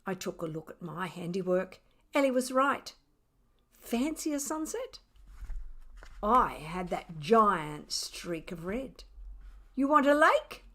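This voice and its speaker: Storybook pet cat, male, played by a woman, self-satisfied and full of mock innocence, unhurried, very lively intonation. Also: mid-range voice, heavily acted. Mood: smug. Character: smug, defiant male pet cat narrating his mischief. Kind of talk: expressive children's storybook reading.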